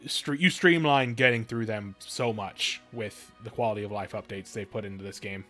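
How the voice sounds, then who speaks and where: A man's voice: on the low side, moderate pace, fairly bright tone, soft background music. A man chatting casually on a live game stream, rambling and correcting himself, with some swearing. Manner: rambling, profane, self-correcting. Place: live stream with game music and dialogue behind.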